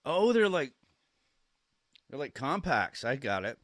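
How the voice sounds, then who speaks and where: A young man with a cold, sniffly and coughing, chatting casually and excitedly on a live stream, rambling and stumbling over his words. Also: medium pitch, unhurried, no echo. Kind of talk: casual live-stream watch-along chat.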